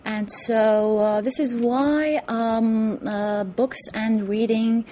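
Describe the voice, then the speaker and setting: A woman from Lebanon, answering interview questions thoughtfully, with many pauses, fillers and restarts, in a TV studio. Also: fairly high pitch, fairly even intonation, noisy background. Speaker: interview guest, author.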